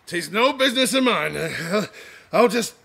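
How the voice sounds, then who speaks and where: An actor playing a rambling drunken sailor in an audio drama, with slurred, drawling speech. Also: male voice, high-pitched, animated, normal speed, sharp tone, full character voice. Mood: uneasy. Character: rambling drunken male sailor, tavern storyteller. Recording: clear background noise.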